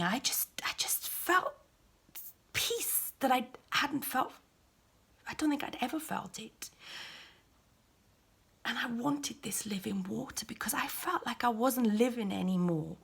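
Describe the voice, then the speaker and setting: A woman's voice: medium pitch, expressive, normal speed, husky. A woman performing a first-person dramatic monologue as a weary outcast Samaritan woman, confiding and dropping to whispers. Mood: hushed. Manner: confiding, shifts between loud cries and hushed whispers.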